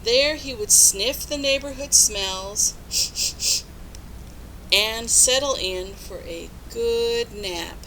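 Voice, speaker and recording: A woman reading a picture book aloud to young children, expressive and instructive, pausing to put questions to her listeners. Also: fairly high pitch, a little hiss or hum, poor audio. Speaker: storybook reader.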